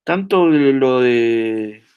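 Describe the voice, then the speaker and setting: A man's voice: mid-range voice, lively intonation, drawn-out words, darkish tone, clean tone, lo-fi sound. A man with an Argentine accent answering questions as a guest lecturer in an academic seminar, speaking thoughtfully with hesitations and frequent tag questions, over a video call.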